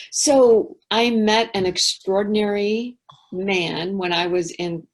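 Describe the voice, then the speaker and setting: A middle-aged woman as an interview guest, speaking thoughtfully and candidly, often trailing off into quiet asides. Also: on the low side, slightly rough. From England. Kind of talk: reflective interview talk.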